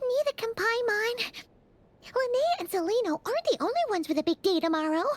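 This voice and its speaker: A woman's voice: extremely high pitch, expressive, fairly quick. A woman voicing a tiny, cheerful, childlike fairy companion in a video game, perky and friendly. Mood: chatty, eager. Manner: speaks of herself in third person, perky. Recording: game music behind the voice. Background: game music.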